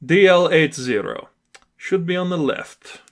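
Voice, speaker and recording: A man's voice: a little high, a little slow, fairly bright tone, pitched above the performer's own voice. A man voicing a confident villain boss, commanding and smugly persuasive.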